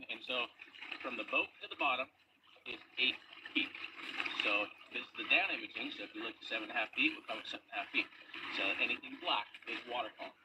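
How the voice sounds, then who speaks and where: A man hosts from a boat out on a lake, walking the viewer through what they are looking at in a relaxed, explanatory way. His voice sits at an average pitch for a man. Heavy outdoor noise runs under everything he says. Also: fast. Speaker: host of a search show. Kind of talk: casual on-location narration. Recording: loud background noise outdoors.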